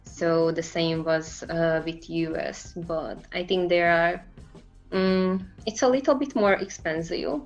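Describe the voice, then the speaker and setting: Soft-spoken woman, slow and low. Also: dull audio, soft background music. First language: Slovak and Hungarian. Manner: laughs often, hesitant fillers. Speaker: guest. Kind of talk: casual conversation.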